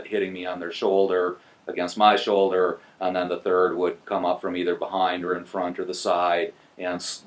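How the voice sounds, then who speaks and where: A man in his sixties from North Dakota, speaking hesitantly in a documentary interview with frequent pauses and fillers. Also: low-pitched. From North Dakota, USA. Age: 60s. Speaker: interviewee recalling school bullying.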